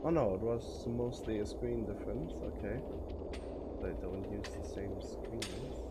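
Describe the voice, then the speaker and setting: A man's voice: lowish voice, a little slow, heavy background noise. A man livestreaming a game, chatting casually and muttering his thoughts aloud to himself. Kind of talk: casual solo stream chat while playing a game.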